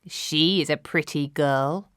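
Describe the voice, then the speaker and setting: A woman's voice: sits low, slow pace, a bit bright, clean tone, no echo, studio quality. A woman voicing a scheming slimy father toad in a storybook tale, with a calculating manner.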